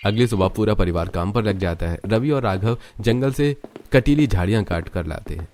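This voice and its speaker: A man reading scripted Hindi narration for an animated family story as its narrator. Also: on the low side, moderate pace. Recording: music behind the narration.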